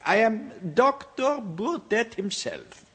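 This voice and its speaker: An actor playing a suave, sinister French doctor in a radio horror drama, with a French accent, a husky voice and over-polite manners. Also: male voice, high-pitched, big pitch swings, fairly bright tone, exaggerated voice. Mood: smugly explaining. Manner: unhurried, over-polite, hesitating delicately. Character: suave, sinister French doctor, a fugitive killer, mockingly courteous. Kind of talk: old-time radio horror drama acting.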